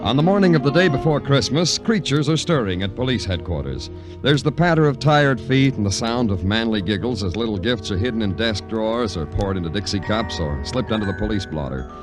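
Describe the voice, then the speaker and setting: An actor playing a world-weary police detective, speaking with measured, poetic drama in 1950s noir radio style. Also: male voice, fairly low pitch, animated, over music, lightly acted. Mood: brooding. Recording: old radio broadcast recording.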